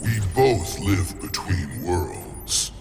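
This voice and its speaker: A man voicing an ancient, solemn plant monster from a video game, gravelly and rumbling, with grave, formal speech. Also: big pitch swings, over music, full character voice. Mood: grim, defiant. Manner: slow, grave, formal delivery. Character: ancient, solemn male swamp plant monster guarding nature. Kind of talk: video game character dialogue.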